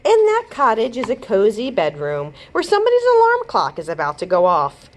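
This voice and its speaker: A woman reading a children's chapter book aloud as a storyteller, with expressive delivery. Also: fairly high pitch, a little fast. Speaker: librarian host and storyteller. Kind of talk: children's read-aloud narration.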